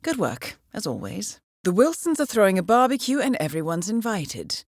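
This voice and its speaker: A woman playing a cool, composed intelligence handler in a video game, giving mission briefings in a measured, formal, dryly witty manner. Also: medium pitch, sing-song, dry recording, studio quality. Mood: dryly wry.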